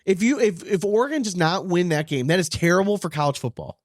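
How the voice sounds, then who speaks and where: A man with a slightly high voice, recorded close and dry, talks sports casually as a co-host. He is opinionated, rants readily and swears freely. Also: animated, fast, dry recording. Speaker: podcast co-host, former team coach.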